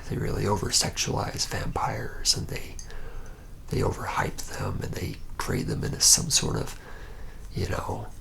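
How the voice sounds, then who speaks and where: Guy doing a jaded young vampire asmr thing, super gravelly half whisper, hesitant and deadpan. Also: low voice, heavily acted. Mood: wry, reluctant. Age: young adult. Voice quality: breathy whisper with gravelly creak. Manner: hesitant, halting, wry and deadpan. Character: reluctant, jaded young male vampire, former warehouse worker. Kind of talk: whispered ASMR roleplay monologue.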